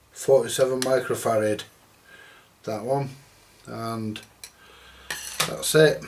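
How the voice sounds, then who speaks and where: Male repair-video host, medium pitch, casual and halting, thinking aloud at the workbench; noisy home-style recording. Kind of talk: casual hands-on workshop commentary.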